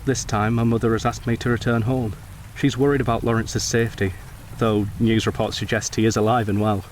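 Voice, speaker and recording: A man playing a brooding, principled young Edwardian gentleman soldier in a radio drama, speaking and narrating in a formal, intense, literary way. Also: fairly low pitch, flat delivery, fairly quick, slight background noise, lightly acted. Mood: matter-of-fact.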